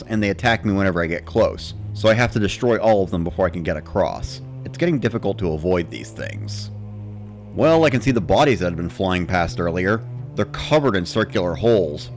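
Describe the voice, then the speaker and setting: A man reading a first-person story as a dramatic narrator, in the style of a gamer recounting play. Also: on the low side.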